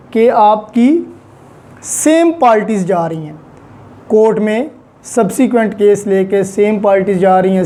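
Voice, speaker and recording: Pakistani law teacher, mellow soft-edged voice, explaining step by step, mixes in english terms. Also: medium pitch. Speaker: law lecturer. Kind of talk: explanatory lecture.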